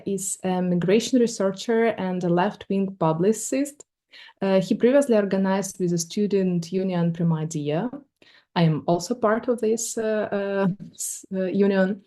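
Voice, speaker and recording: Mellow-voiced hesitant woman moderator. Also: on the low side. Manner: hesitant, many fillers. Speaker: panel moderator. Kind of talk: panel moderation.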